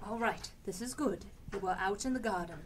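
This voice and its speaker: Sceptical, exasperated housewife, played by a woman, mid-pitched. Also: a little hiss or hum, lightly acted. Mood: incredulous. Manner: incredulous, deliberate echoing questions. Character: sceptical, exasperated housewife humoring her odd neighbor. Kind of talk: stage radio comedy acting.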